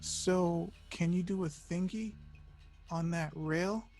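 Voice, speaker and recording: A man's voice: high-pitched, slow pace, a little mellow, full character voice. A man playing an awkward, lovesick young slacker in a table read, plaintive and hesitant. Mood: goading, awkward. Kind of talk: table read of a comedy film script.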